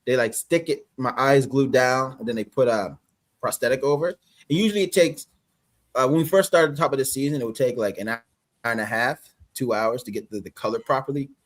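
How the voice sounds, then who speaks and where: Man, Canadian accent, husky voice, medium pitch, relaxed rambling livestream guest. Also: fairly quick. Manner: relaxed, rambling, laughs often. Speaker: guest actor. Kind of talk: casual livestream chat.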